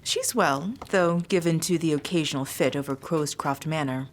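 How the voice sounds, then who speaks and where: A woman's voice: deep voice, normal speed, slight background noise, natural voice. An actress playing a sweet, genteel, sociable young noblewoman in an audio drama. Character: genteel, chatty young noblewoman doting on her dog.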